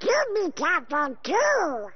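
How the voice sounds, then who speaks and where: A man's voice: extremely high pitch, huge pitch swings, slow pace, lo-fi sound, full character voice. A man voicing a feisty, bossy cartoon duck in a video game, with garbled, quacking speech. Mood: bossy urging.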